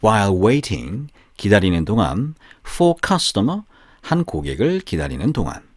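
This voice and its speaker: A man narrating a scripted bilingual fairy tale for language learners, deliberately, phrase by phrase, in a storytelling delivery. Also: fairly low pitch, big pitch swings, dry recording, clean studio sound. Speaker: audiobook narrator.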